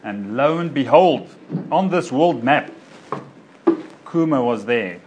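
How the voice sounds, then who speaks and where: A man's voice: medium pitch, lively intonation, takes it slowly, a little mellow, lo-fi sound, a little hiss or hum. A man from Africa, a doctor giving an informal personal talk to a local audience. He rambles, with many fillers and self-corrections. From South Africa. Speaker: doctor speaking to a local community group.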